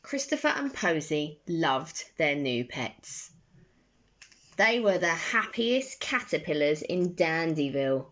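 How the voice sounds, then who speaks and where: A young woman reading a children's bedtime story aloud in an expressive, deliberate way, recorded on a phone in a bedroom. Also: sits low. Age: young adult. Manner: slow, deliberate, animated phrasing for children. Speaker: storybook reader. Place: bedroom, indoors. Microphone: phone at arm's length. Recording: slightly dull phone recording.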